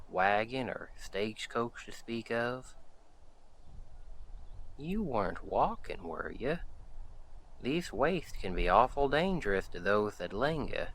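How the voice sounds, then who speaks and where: A man playing a friendly, gentlemanly Old West sheriff in a western audio roleplay, folksy and reassuring as he talks to the listener. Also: fairly low pitch, slight background noise, low-quality recording. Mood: cautioning, curious. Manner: folksy, unhurried, reassuring cowboy talk.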